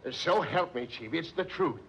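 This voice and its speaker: An actor playing a slangy, dim-witted police sidekick in a 1940s radio mystery, flippant and quick to protest. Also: male voice, a little high, lively intonation, gravelly, a bit bright. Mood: insistent. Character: cheeky, dim-witted male cop sidekick, wisecracking. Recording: muffled 1940s radio recording, faint noise.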